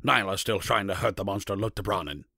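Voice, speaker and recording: A man with a husky, gravelly voice narrating a scripted fantasy story. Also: on the low side, quick pace, pushed and bright, no room sound, clean studio sound.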